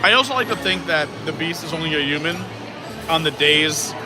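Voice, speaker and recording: A man's voice: on the high side, animated, a little fast. A man hosting a live stream, chatting and joking over a meal in a restaurant. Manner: chatty and joking, trading quips. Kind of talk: casual chat over a meal. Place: indoor theme-park restaurant. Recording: background music. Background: music.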